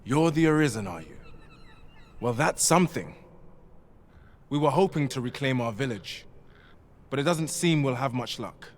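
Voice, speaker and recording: An actor playing a polite, earnest displaced villager in a fantasy video game, with courteous archaic phrasing. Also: male voice, mid-range voice, animated, moderate pace, long pauses, natural voice. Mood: worried, pleading.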